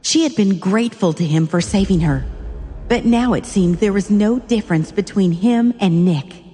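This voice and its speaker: A woman with a mid-pitched voice delivers dramatic scripted narration. Her voice carries a long reverb, with music clearly underneath. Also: normal speed, mellow.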